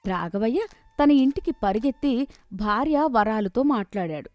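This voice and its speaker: A woman narrating a cartoon moral story with steady, clear storytelling. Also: mid-range voice, normal speed, no room sound.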